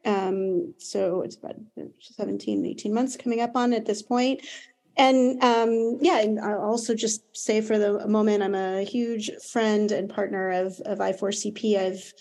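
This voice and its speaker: Mellow American woman on a panel. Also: mid-range voice, fairly even intonation, unhurried. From United States. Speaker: panelist, corporate HR executive. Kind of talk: conversational panel discussion.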